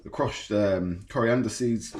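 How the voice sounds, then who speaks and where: A man's voice: fairly low pitch, a little slow. A man with a blocked nose talks casually and enthusiastically as he cooks in his home kitchen. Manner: relaxed, enthusiastic about food, talks while cooking. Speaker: fishing and cooking vlogger. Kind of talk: casual cooking commentary. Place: home kitchen with sizzling pan. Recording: echoey kitchen with frying noise.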